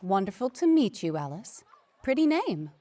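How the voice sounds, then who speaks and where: A woman's voice: mid-range voice, big pitch swings, moderate pace, warm tone, no echo, lightly acted. A woman in a fandub playing a gracious, charming school inspector, polite and coy. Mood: charming, courteous. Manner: polite, coy, sweetly courteous. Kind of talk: fandub voice acting.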